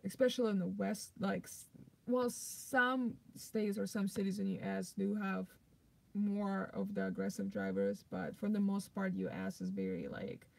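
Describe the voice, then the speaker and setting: A young woman with a Russian accent, her voice heavy with vocal fry, chats loosely at a middling pitch. She rambles through her answers with lots of "like" and "um" while replying to viewers on a live stream. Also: lively intonation, a little slow, low-quality recording. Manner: loose and rambling, many "like" and "um" fillers. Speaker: vlogger hosting a live stream. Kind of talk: casual live-stream chat, reading viewer comments.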